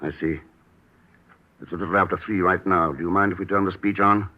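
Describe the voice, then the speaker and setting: An actor playing a calm male secret agent, speaking in clipped lines in an old radio drama. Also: sits low, fairly quick, phone-quality sound. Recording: hissy old radio recording.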